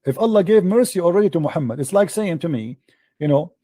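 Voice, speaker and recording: Insistent man with a dry, mellow voice. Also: a little high, expressive, fast, no echo. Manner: insistent, repeats points, nonstandard English grammar. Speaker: debate host. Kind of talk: argumentative religious debate.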